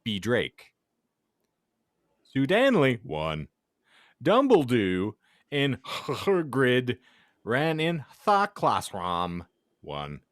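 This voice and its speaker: Male podcast host, theatrical comic reader. Extremely animated intonation, very slow and deliberate pace. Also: mid-range voice, no echo, clean studio sound. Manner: theatrical delivery, often breaking into laughter. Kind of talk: comic dramatic reading of misspelled fan fiction.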